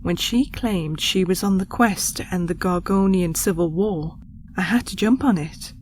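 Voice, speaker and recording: A woman's voice: on the low side, normal speed, darkish tone, slight background noise, natural voice. Woman acting a composed, formal alien co-pilot. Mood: earnest, idealistic. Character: calm, principled, kindly female alien co-pilot. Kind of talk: science fiction audio drama acting.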